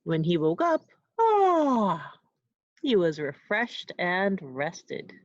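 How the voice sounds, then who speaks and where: A woman reads a picture book to young children in a very lively, swooping voice. She is an expressive storyteller who chats to her listeners with questions and asides. The sound is dry and slightly dull. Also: fairly low pitch, drawn-out words, no room sound. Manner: animated, asks listeners simple questions and adds asides.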